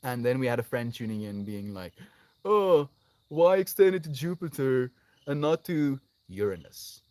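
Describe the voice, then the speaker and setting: A man's voice: medium pitch, very animated, normal speed, darkish tone, no echo. A man hosting a livestream, chatting casually and effusively with his viewers and addressing them affectionately as friends. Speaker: live streamer, host. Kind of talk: casual livestream chat, responding to viewer comments.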